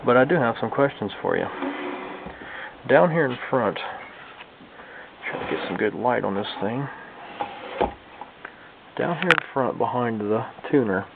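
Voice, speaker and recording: A man's voice: mid-range voice, big pitch swings, a little slow, creaky, pauses often. A man giving a casual, hands-on demonstration to viewers as a hobbyist restorer, talking informally and folksily as if thinking aloud. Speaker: hobbyist radio restorer and video host. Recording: lo-fi, phone-like band, slight background noise.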